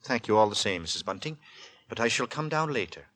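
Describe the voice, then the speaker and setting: An actor playing a quiet, secretive lodger with a hesitant, formal, fussily courteous manner in 1940s radio style. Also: male voice, fairly low pitch, very animated, a little fast. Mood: courteous, intense. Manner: hesitant, formal, polite but curt. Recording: vintage 1940s radio drama with music.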